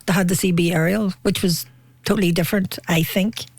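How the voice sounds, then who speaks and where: A woman's voice: on the low side, steady tone, fairly quick, a little mellow, dry recording. A woman with a Northern Irish accent, chatting casually as a talk show co-host. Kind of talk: casual conversational chat.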